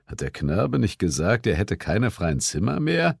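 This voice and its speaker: A man playing a wary reporter in an audiobook, murmuring in a gravelly, husky voice. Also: big pitch swings, measured pace, no room sound, studio quality, full character voice. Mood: suspicious. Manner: low murmuring. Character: wary reporter friend muttering suspiciously.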